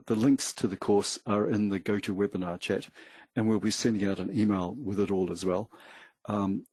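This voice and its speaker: Husky low-voiced New Zealand man. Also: dry recording. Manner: relaxed, hesitant, many fillers. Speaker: webinar co-host. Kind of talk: casual webinar talk.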